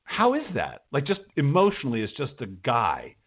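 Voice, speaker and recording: Man, middle-pitched, casual interviewer, informal, probing, short rephrased bursts, band-limited radio sound. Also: huge pitch swings, moderate pace, breathy edge, phone-quality sound. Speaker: host, interviewer.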